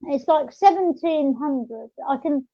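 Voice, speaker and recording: High-pitched woman, halting podcast chat. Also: expressive, mellow, dry recording. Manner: rambling, halting explanations. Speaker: podcast host. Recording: dull, lo-fi audio.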